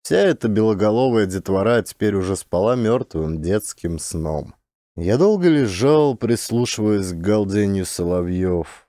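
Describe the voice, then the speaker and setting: A man's voice: lowish voice, warm tone, no room sound, clean studio sound. A man narrating a literary audiobook in Russian, expressively, as a trained actor would.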